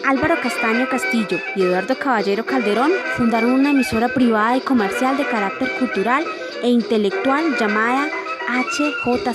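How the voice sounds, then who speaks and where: A woman reading scripted documentary narration for a radio program. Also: on the high side. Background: loud music.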